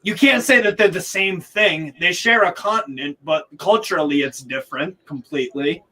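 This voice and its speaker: A man's voice: on the high side, moderate pace, pushed and bright. A man in a casual watchalong chat who presses his point with pointed yes-or-no questions. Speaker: co-host of a football watchalong. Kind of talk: casual debate.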